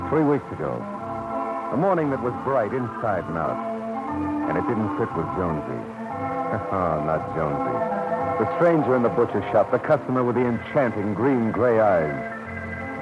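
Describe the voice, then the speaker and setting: Low male voice, world-weary private eye, American, brooding, clipped, noir radio narration, muffled vintage sound, loud music. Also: big pitch swings, moderate pace. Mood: somber, wistful. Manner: hard-boiled, clipped, brooding. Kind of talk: classic radio noir first-person narration and dialogue.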